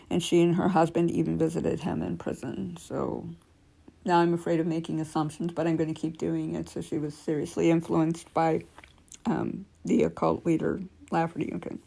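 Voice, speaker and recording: Female storyteller, scratchy and dark-toned, reading real-life creepy tales with offhand asides. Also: fairly low pitch, flat delivery, moderate pace, gravelly. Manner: stumbles and corrects herself while reading. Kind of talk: reading aloud with casual asides.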